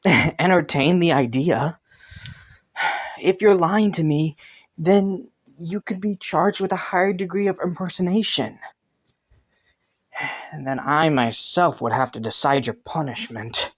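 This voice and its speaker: A man's voice: high voice, full character voice. A man playing a haughty, teasing young crown prince in a romantic fantasy audio roleplay, suspicious yet intrigued, with a smug manner. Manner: teasing, smug, chuckling and sighing between phrases.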